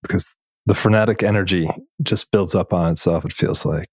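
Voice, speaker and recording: Low-voiced man, close and dry. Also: darkish tone, husky, no echo. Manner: thoughtful, searching phrasing with pauses. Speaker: podcast host and interviewer. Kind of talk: reflective conversational interview.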